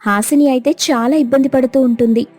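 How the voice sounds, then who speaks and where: A woman narrating a cartoon story in a steady, plain storytelling manner. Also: high voice, a little fast, slightly rough, soft background music.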